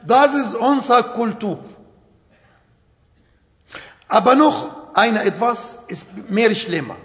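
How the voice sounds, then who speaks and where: Ein Mann mittleren Alters mit Arabisch als Muttersprache und arabischem Akzent, der langsam und nachdrücklich predigt, in etwas holprigem Deutsch. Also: male voice, extremely high pitch, very animated, a bit bright. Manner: slow, emphatic, non-native German phrasing. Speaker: preacher. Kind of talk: sermon. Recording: echoey hall, dull sound.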